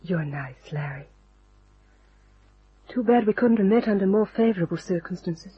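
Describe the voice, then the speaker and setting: A very breathy young damsel from a radio crime play. She is anxious and earnest, her pitch swooping as she pleads. The recording is muffled old radio. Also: expressive, normal speed. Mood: tender, regretful. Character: anxious, soft-hearted young actress duped into trouble. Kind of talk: old-time radio drama acting. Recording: dull, band-limited old radio recording.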